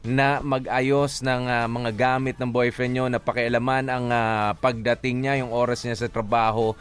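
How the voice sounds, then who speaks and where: A man with a Filipino accent giving casual advice as a co-host, explaining things and pausing to find his words. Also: medium pitch, little pitch movement, faint music behind. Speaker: radio advice show co-host.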